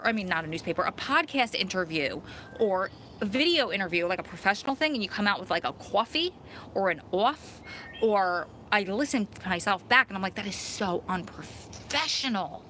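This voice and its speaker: A woman in her thirties with a thick New York–New Jersey accent, chatting through a live stream. Her voice is bright and forward. She laughs easily and pokes fun at herself between comments. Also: fairly high pitch, a little hiss or hum. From Long Island, New York. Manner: chatty, laughs often, self-mocking. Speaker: vlogger hosting a live Q&A. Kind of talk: casual live-stream chat reading comments.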